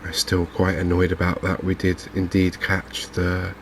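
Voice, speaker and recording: A man speaking softly and unhurriedly in an ASMR style, murmuring his way through video game commentary as a streamer. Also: low voice, monotone, background noise. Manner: soft-spoken, unhurried, murmuring to himself.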